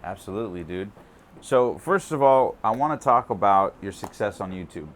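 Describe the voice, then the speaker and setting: A man with a fairly low speaking voice hosts an interview. He talks in a casual, upbeat way, often laughing along with his guest. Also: animated, moderate pace, slight background noise. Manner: upbeat, laughs often, hype-style intro. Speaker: podcast host.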